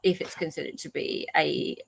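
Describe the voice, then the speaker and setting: Woman, Australian accent, lower pitch, casual live host, halting delivery, thinking out loud. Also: vocal fry, breathy edge. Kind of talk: casual unscripted commentary.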